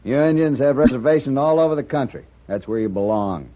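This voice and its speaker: An actor playing a bitter, bigoted cattleman in a 1950s radio Western, gruff, blunt and sneering. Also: medium pitch, lively intonation, a little fast, natural voice. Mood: scornful. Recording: muffled, noisy old-time radio recording.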